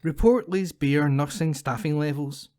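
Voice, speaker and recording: A man's voice: fairly high pitch, unhurried, darkish tone, dry recording, clean studio sound. A man reading scripted local news as a volunteer for an audio newspaper. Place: at home, dry room.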